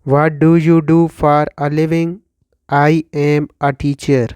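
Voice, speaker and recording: Slow, deliberate Pakistani man teaching. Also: on the high side, little pitch movement, a little mellow, clear, resonant tone, dry recording. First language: Urdu. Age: 30s. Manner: slow, deliberate, repeats each line for learners. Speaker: English teacher. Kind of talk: slow scripted question-and-answer drill.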